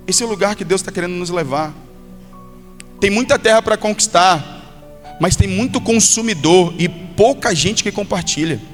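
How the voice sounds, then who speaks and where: High-voiced Brazilian male pastor preaching. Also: a bit bright. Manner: exhortative, direct address with acted-out quotes and repetition. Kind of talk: sermon. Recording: long hall reverb, music behind. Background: music.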